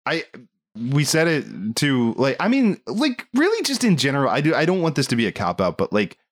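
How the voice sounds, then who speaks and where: A man talking casually as a podcast host, rambling along in an animated, amused way. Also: mid-range voice, normal speed. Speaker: film discussion podcast host. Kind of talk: casual movie review chat.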